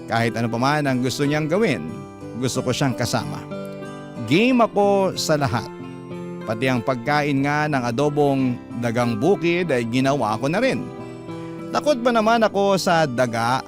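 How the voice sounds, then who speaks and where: A male narrator reads a love story letter in the first person, with a heartfelt, classic radio-program delivery. His voice sits at a middle pitch. A guitar plays clearly behind him the whole time. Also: animated, moderate pace. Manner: heartfelt, addressing listeners directly. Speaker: radio drama host and narrator. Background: guitar music.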